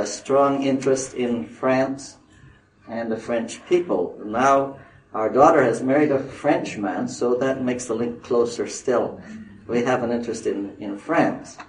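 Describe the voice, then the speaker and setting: An older man, a missionary addressing a conference audience in a halting way, full of fillers and restarts. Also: medium pitch, slight background noise. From Northern Ireland. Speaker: missionary speaker. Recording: roomy hall recording from a distance.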